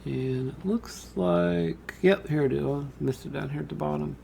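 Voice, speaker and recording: A man giving an informal screen-share software tutorial, talking through his steps and thinking aloud as he works. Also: fairly high pitch, steady tone, normal speed, vocal fry, a little hiss or hum. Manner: casual, thinking aloud, addresses viewers as guys. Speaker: tutorial host.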